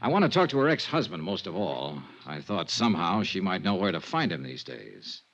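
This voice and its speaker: A courteous, dry-witted private investigator from a 1950s radio serial. His lowish voice moves up and down a lot. The sound is muffled old broadcast audio. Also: sing-song, a little fast, a bit bright, exaggerated voice. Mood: businesslike. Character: polite, wry hard-boiled insurance investigator and narrator. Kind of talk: 1950s radio detective acting and narration.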